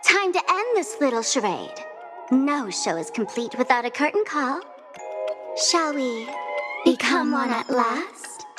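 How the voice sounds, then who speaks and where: A woman's voice: high-pitched, big pitch swings, measured pace. A woman voicing a mischievous, theatrical young showgirl in a video game, playful and teasing. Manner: playful, teasing, sing-song. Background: loud game music.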